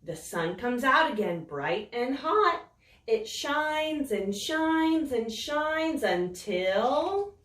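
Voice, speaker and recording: Slow, lively storytime woman, roomy echo. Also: sits high, distant mic. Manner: slow, expressive, sing-song storytelling. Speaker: children's storytime reader. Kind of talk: reading a picture book aloud to children. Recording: roomy echo, voice set back from the mic.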